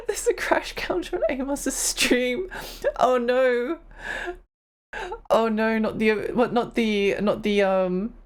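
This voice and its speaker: A woman's voice: on the high side, takes it slowly. A young woman streamer chatting casually on a live stream, her voice tired and strained, rambling and giggling as she talks.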